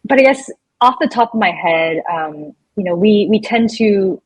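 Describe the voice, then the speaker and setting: 30s woman on a podcast, casual chat, bit husky, very dry recording. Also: mid-range voice, expressive, normal speed, no echo, low-quality recording. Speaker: podcast guest, full-time traveler.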